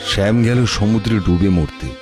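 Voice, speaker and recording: Deep-voiced male cartoon narrator. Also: fairly quick, over music. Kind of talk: scripted cartoon story narration.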